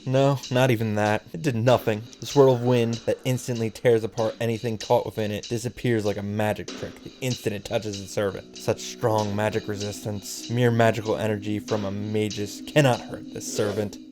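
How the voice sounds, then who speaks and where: A man reading the part of a proud young female mage from a visual novel, in measured, solemn dramatic narration. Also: on the low side, flat delivery, darkish tone, soft background music, natural voice. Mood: grave, tense.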